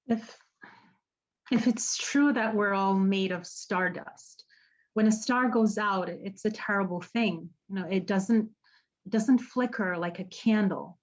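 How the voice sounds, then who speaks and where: An actress playing an earnest, haunted woman in an audio drama, speaking haltingly and searching for her words. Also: mid-range voice, lo-fi sound, lightly acted. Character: earnest, haunted woman running an apocalypse support group. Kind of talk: naturalistic podcast radio drama acting.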